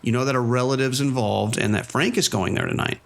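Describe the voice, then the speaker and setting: An actor playing a patient male police officer, questioning an informant in a measured, skeptical way in an audio drama. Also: mid-range voice, vocal fry, lightly acted.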